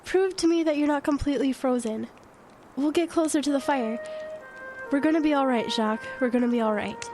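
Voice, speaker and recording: Very high-pitched, determined actress as a frightened woman. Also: brisk, darkish tone, faint music behind, natural voice. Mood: anxious, soothing. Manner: urgent, pleading, repeating herself. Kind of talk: horror audio drama acting. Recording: noisy wind and effects bed.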